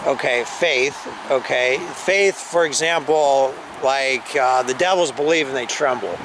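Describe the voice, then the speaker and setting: A man's voice: medium pitch, unhurried. A man doing open-air street preaching and then debating a passerby, insistent and earnest, recorded on a camera in a parking lot. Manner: loud, insistent preaching that drops to calmer conversation. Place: outdoor church parking lot. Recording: wind noise on an outdoor camera mic.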